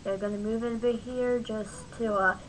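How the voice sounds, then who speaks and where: A woman giving a casual software tutorial in an informal, halting way, often interrupting and talking to herself. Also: fairly high pitch, steady tone, a little hiss or hum, rough recording. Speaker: tutorial host. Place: at home, at a computer.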